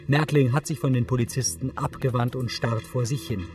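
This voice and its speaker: Male narrator, scripted audio description, articulate, matter-of-fact, medium pitch, music behind. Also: fairly even intonation, normal speed.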